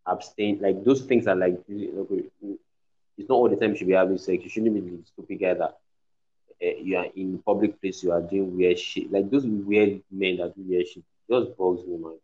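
A man chats bluntly on a podcast, pressing his points hard and swearing freely. His voice is low. The sound is muffled and lo-fi. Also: fairly even intonation, moderate pace, dark tone. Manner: blunt and emphatic, swears, says "bro" a lot. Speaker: podcast guest. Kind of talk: casual chat. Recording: dull lo-fi sound.

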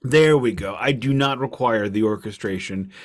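A man's voice: mid-range voice, normal speed. A man with an American accent chatting casually about music as a podcast co-host, in a wry, jokey manner. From United States. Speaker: podcast co-host reviewing albums. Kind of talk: casual music review chat.

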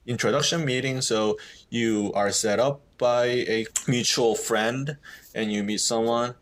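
A man's voice: mid-range voice, fairly even intonation, a little slow. A man in his thirties from South Korea who speaks English with a Korean accent, chatting as a podcast guest in a hesitant way full of fillers and restarts. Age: 30s. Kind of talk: casual interview chat.

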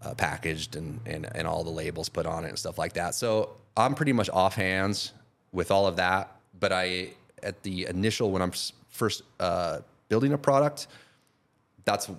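A man in his forties, a podcast guest, talking casually and rambling, with many restarts and trailing off quietly. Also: low voice, normal speed. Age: 40s. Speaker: guest, custom painter and product seller.

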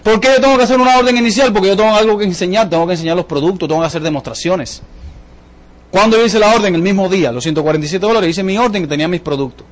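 A high-voiced man with a Cuban accent presents a business seminar. He talks in an emphatic, folksy way, repeating key points and throwing rhetorical questions at his audience. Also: rapid-fire. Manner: emphatic, colloquial, repetitive, full of rhetorical questions. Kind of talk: motivational sales talk to an audience.

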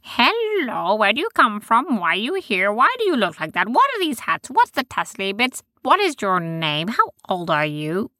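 A woman voicing squeaky, excitable little furry fairy creatures in a storybook. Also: moderate pace, few pauses, dry recording, clean studio sound. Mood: excited. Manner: high, squeaky and eager.

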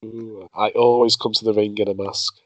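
A man's voice: lowish voice, level tone, moderate pace, fairly bright tone, dry recording. A man hosting a casual quiz game, reading clues aloud in first person and laughing often. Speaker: quiz host.

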